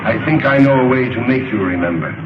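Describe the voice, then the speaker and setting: A coldly menacing gangster warlord , acted by a man from a vintage film serial. He gives orders and threats formally and deliberately. The sound is dull and roomy, with music clearly behind him. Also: mid-range voice, natural voice. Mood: cold menace. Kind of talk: 1930s film serial acting.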